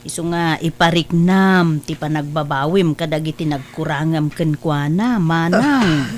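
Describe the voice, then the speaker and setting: A woman playing a warm, counselling younger sister and mother in an audio drama, coaxing and expressive, with an Ilocano accent. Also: deep voice, measured pace, slight background noise. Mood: earnest, advising.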